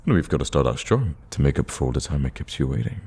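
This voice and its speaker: An eager villain in love, acted by a man for a romantic ASMR roleplay. His voice is extremely low, dark and mellow, with a husky rasp. He talks in a casual, conversational way and gets flustered easily around his date. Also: expressive, fast, exaggerated voice. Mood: earnest, reassuring.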